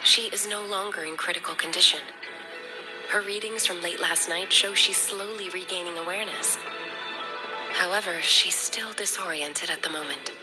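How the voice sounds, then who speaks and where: Woman acting as composed female medic, caring, formal, measured delivery, slightly low voice, video game dialogue, music behind. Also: close to an everyday voice, rough recording. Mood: calm concern.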